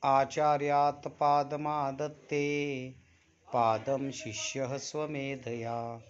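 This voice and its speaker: Slow-paced Marathi-accented man teaching, dull audio. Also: mid-range voice, flat delivery. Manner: instructive, enunciates deliberately, prompts students with questions. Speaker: Sanskrit teacher. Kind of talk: online class instruction with chanted verse recitation. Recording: dull, muffled online class audio.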